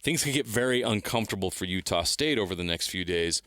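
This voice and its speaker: A man's voice: on the low side, expressive, fast, fairly bright tone, no echo. A man co-hosting a sports talk show, explaining things casually and repeating words for emphasis, on a close studio mic. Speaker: sports radio co-host. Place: radio studio. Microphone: close studio microphone.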